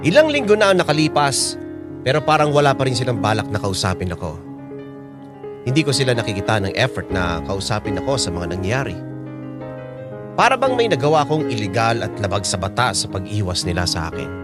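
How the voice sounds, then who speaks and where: A man's voice: mid-range voice, sing-song, brisk, loud music behind the voice, lightly acted. A man acting as a hurt young storyteller, narrating a radio drama earnestly and reflectively with a Filipino accent.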